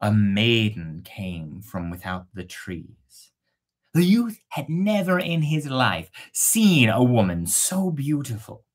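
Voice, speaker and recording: A woman's voice: a bass voice, sing-song, slow, slightly rough. A woman narrating a fairy tale as host, playful and breaking off for casual asides. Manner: playful, self-interrupting. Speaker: storyteller and host.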